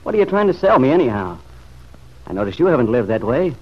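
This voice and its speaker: Bitter, tough-talking young veteran. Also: male voice, mid-range voice, big pitch swings, fast, husky. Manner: clipped, sardonic, slangy. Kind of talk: old-time radio drama acting. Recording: dull, noisy vintage radio recording.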